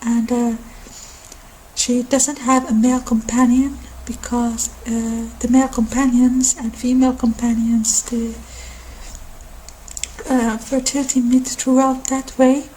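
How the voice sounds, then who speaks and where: A woman hosting an informal ASMR-style talk in an unhurried, halting delivery. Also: a little high, level tone, mellow, a little hiss or hum, poor audio. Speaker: video channel host.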